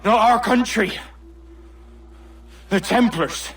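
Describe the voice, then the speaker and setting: An actor playing an embittered, accusing pirate with rough sailor's speech in a video game cutscene. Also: male voice, sits high, takes it slowly, fairly bright tone, far from the mic, soft background music, full character voice. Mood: bitter. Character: angry, embittered pirate, accusing and loyal to his masters.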